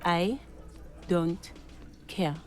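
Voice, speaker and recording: A woman's voice: lowish voice, drawn-out words, a little mellow. A cool, curt, dismissive woman. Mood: cold, dismissive. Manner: curt, imperious, controlled. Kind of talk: video game character dialogue.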